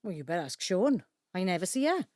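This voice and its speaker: An actress playing an anxious, peacemaking middle-aged daughter with a Welsh accent, earnest and placating, in a naturalistic audio drama. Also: female voice, lowish voice, very animated, fast, a little mellow, no echo, studio quality. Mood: rueful.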